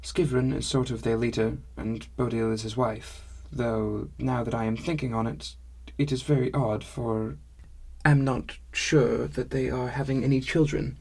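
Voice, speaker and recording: A cheerful, loud female dwarf stablehand with oddly formal, stilted phrasing, as a male narrator puts her on. Her voice sits a little higher than his own and keeps a husky edge. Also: steady tone, unhurried, heavily acted. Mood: explaining. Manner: stilted phrasing with much "is being".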